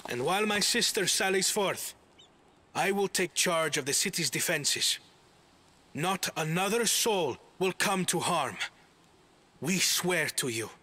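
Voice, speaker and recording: A man's voice: high voice, animated, unhurried, sharp tone, full character voice. A man playing a dutiful royal brother in a video game cutscene, speaking in formal, assured declarations. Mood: resolute. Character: calm, dutiful royal brother vowing to protect city.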